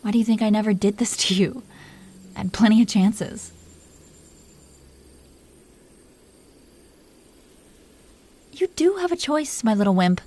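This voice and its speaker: A woman's voice: on the high side, warm tone. A woman playing a protective, doting dragon queen who teases her lover tenderly in an intimate roleplay. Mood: tender, reassuring. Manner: tender, playfully teasing, breathy whispers at times. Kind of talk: intimate roleplay audio, soft acting.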